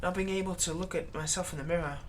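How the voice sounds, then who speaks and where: A man's voice: high-pitched, fairly even intonation, quick pace, slight background noise, close to an everyday voice. A man playing a servile, nervous vampire's thrall in a roleplay audio drama, stammering and deferential. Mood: wistful, bitter. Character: servile, nervous male vampire's thrall, bitterly eager to please.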